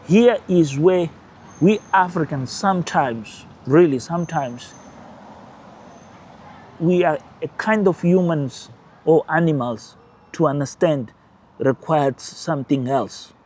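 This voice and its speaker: A man with a Portuguese-speaking accent and Portuguese as his first language, hosting his own channel with emphatic, animated political commentary and brief laughs. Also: fairly high pitch, takes it slowly, pauses often, a little hiss or hum. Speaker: channel host.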